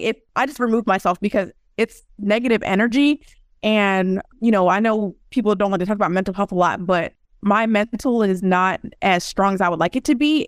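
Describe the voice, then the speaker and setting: A woman's voice: mid-range voice, dry recording, clean studio sound. A young woman, a guest in a casual interview, telling stories and acting out what people said. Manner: animated storyteller, acts out quotes.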